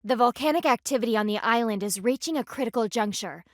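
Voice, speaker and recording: A woman's voice: on the high side, expressive, quick pace, no room sound, studio quality, lightly acted. A woman voicing a stern, proud panda warrior in a video game, speaking formally and with haughty, precise diction. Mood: formal, serious. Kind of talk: scripted video game voice acting.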